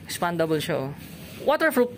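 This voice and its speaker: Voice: mid-range voice, sing-song. Chatty vlogger browsing gear, keeps repeating answers back, lots of background noise in the shop. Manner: chatty, repeats and echoes answers, quick laughs. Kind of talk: casual on-camera chat. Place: motorcycle gear shop, indoors. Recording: noisy shop recording.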